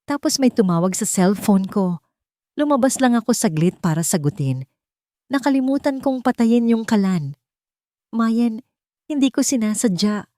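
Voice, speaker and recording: A woman's voice: on the high side, animated, moderate pace, pauses often, dark tone, no echo, studio quality, pitched above the performer's own voice, lightly acted. A woman voicing a guilt-stricken best friend, tearful and apologetic, begging forgiveness in an audio story. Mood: tearful, pleading.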